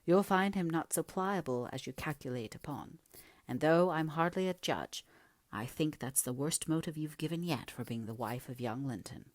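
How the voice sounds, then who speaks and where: A woman voicing a stern, disapproving servant who scolds her young mistress in a brisk, emphatic audiobook reading. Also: low-pitched, no room sound, natural voice. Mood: sceptical, reproving. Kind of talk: dramatic audiobook character reading.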